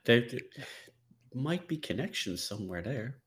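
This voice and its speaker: Wry man hosting, mid-pitched voice. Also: sing-song, a little slow. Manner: playful, wry. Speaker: podcast host. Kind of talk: casual banter.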